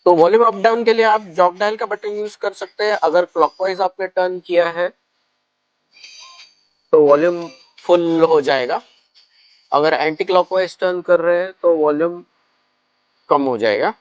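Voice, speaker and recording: A man's voice: high voice, moderate pace, long pauses, smooth, no room sound, highs cut off. A man gives a casual step-by-step product tutorial, explaining things and mixing in English phrases. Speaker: product reviewer and shop presenter.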